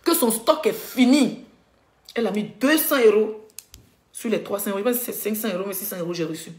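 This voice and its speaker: A woman's voice: mid-range voice, a little fast, darkish tone. A woman blogger ranting indignantly on a live stream, coughing with a cold and addressing her viewers directly. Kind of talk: heated rant on a live stream. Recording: echoey room.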